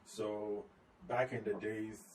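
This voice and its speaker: A man, a company head, talking through tips on slides in a relaxed video lesson, repeating key points as he explains. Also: lowish voice, slow pace. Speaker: company CEO giving tips. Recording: slightly distant mic, faint ambient noise.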